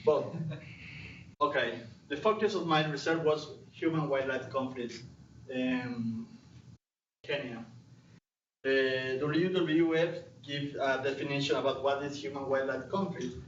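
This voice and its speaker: A man's voice: medium pitch, unhurried, pauses often, a little hiss or hum. Young ecuadorian guy presenting research, spanish accent, lots of uh's, far off mic, roomy lecture hall. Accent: Latin American Spanish. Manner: hesitant, frequent uh and restarts. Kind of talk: conference presentation. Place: lecture room. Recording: distant mic, roomy hall, dull sound.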